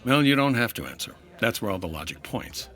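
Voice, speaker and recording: A man's voice: medium pitch, sing-song, brisk, a bit bright, soft background music. A man playing a dry, businesslike insurance investigator in old-time radio detective style, clipped, wry and matter-of-fact. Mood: probing, firm. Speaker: actor in a comedy audio drama.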